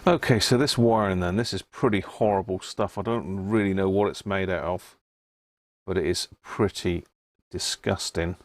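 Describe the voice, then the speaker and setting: Low-voiced man thinking aloud at the workbench. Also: fairly even intonation, dry recording. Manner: thinks aloud in short, halting phrases. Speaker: host of a live electronics repair stream. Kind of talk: casual live workbench commentary, chatting to viewers.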